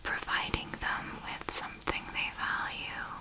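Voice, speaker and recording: A woman playing an elegant, seductive vampire mentor in a whispered ASMR roleplay, speaking in a deliberate, intimate and formal way. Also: bright, forceful tone. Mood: instructive, composed. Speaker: ASMR performer.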